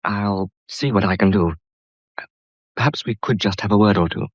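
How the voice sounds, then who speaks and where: A grave doctor played by a man in a radio drama, measured and matter-of-fact. The voice is very deep and authoritative, in a dry, slightly dull recording. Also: no room sound, natural voice. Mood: grave, clinical.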